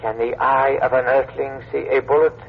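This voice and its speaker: An actor playing a formal, imperious alien envoy studying Earthlings in a radio science fiction drama, in a husky, stately manner. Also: male voice, mid-range voice, level tone, normal speed. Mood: formal, measured. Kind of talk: old-time radio science fiction acting. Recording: muffled old radio drama recording.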